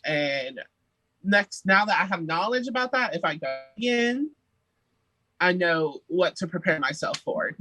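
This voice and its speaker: A man's voice: high voice, lively intonation, measured pace, bright, forceful tone, no echo, low-quality recording. A young man, a singer, chatting playfully as a podcast guest and laughing often. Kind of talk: casual interview chat.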